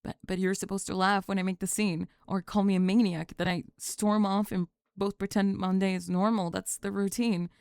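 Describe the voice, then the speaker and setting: A woman voicing a sulky young goth bully who is flustered and secretly lovestruck, halting and sighing in an ASMR roleplay. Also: medium pitch, little pitch movement, darkish tone, clean tone, dry recording, clean studio sound, natural voice. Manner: halting, sighing, grudgingly tender and defensive. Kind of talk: ASMR roleplay drama, spoken to the listener.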